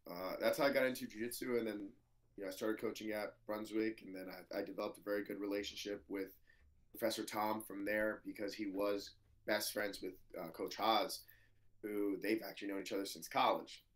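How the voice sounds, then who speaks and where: A hoarse man in his thirties with a slightly low voice talks in a loose, rambling way. He tells stories as a guest, leaning on fillers and the odd swear word. His throat sounds worn out. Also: fairly even intonation, brisk. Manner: loose, filler-heavy storytelling, swears freely. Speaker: jiu-jitsu professor, guest. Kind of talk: casual interview chat.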